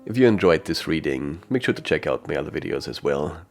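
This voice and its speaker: A man's voice: rumbling low, animated, fairly quick, faint music behind. A man speaking as a channel host, giving a showy, grandiose sign-off to viewers. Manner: theatrical, grandiose.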